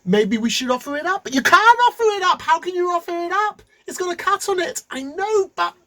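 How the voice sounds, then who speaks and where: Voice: very high-pitched, lively intonation, brisk, bright, forceful tone, voice pushed up, heavily acted. A storyteller voicing anxious male temple priests arguing and stammering over what to do. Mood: fretful. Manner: fretful, dithering, stammering.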